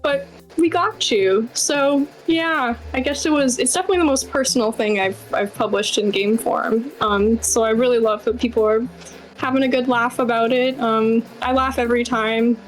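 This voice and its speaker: A woman's voice: a little high, fairly even intonation, normal speed, faint music behind, low-quality recording. A woman giving casual, chatty interview answers as a game developer, with lots of informal asides. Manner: chatty, informal, many "like" and "you know" asides.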